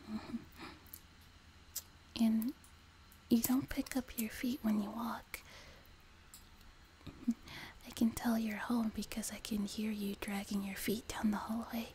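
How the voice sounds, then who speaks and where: Woman playing a slow, whispering sweet demon girl. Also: medium pitch, lightly acted. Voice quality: soft, breathy whisper. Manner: gentle, slow, teasing, intimate. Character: sweet, vain, teasing young demon girl, doting caretaker. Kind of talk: whispered ASMR roleplay. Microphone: close binaural microphone. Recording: close binaural mic, background hiss.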